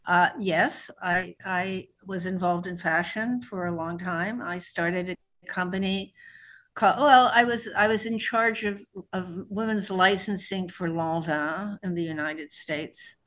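A woman's voice: fairly low pitch, fairly even intonation, unhurried, fairly bright tone. Middle-aged woman writer, easy conversational delivery, talks freely about her work and life. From born in New Mexico, raised in New York. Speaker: author being interviewed. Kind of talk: conversational interview.

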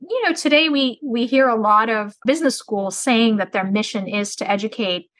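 A middle-aged woman from Turkey, a business school dean, answering interview questions in a measured, articulate, explanatory way. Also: medium pitch, fairly bright tone, dry recording.

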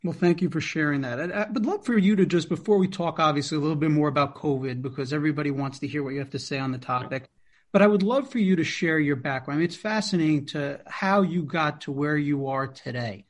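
Admiring male interviewer, higher voice. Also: quick pace. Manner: admiring, conversational. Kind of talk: interview questions.

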